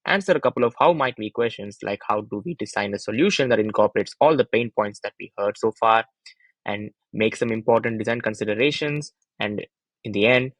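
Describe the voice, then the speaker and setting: A man's voice: fairly low pitch, fairly quick, no room sound, poor audio. A young adult man reading a scripted research presentation as a student narrator, in an orderly, explanatory manner.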